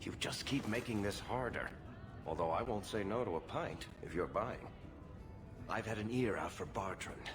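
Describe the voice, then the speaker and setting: A man's voice: lowish voice, animated, normal speed, over music, natural voice. An actor playing a sardonic, roguish male dwarf in a video game, speaking in a cool, confiding way. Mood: wry, confiding.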